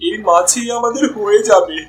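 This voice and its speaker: A man's voice: sits high, normal speed, faint music behind, natural voice. A man voicing a cheerful, doting village husband and father in a cartoon. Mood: astonished, pleased. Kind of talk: Bengali cartoon voice acting.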